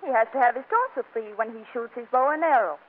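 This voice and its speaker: An actress playing a hurt, proud wife with a pointed dramatic manner in a 1940s radio comedy, on a tinny recording. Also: female voice, a little high, animated, quick pace, background noise, close to an everyday voice. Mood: clipped. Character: hurt, proud young wife doubting her husband.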